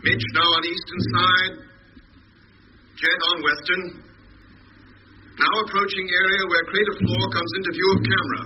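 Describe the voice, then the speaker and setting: A man's voice: high voice, flat delivery, normal speed, long pauses, close to an everyday voice. An actor playing a spaceship crewman in a 1950s radio drama, reporting what he observes. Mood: brisk, watchful. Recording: muffled, very noisy 1950s radio recording.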